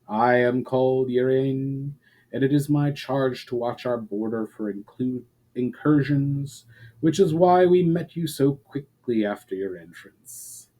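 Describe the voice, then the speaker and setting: Storybook elf guard, male, ancient man acting: mellow, middle-pitched, formal, measured, gently weary and wistful. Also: lightly acted. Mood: courteous. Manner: formal, measured, archaic phrasing. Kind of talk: dramatic storybook reading.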